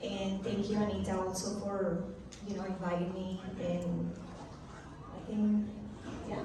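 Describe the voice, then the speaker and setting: A woman from Colombia, introducing herself on a panel in a halting, slightly nervous way. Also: medium pitch, flat delivery. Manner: nervous, halting, many fillers. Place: convention hall. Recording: echoey hall from far off, clear noise.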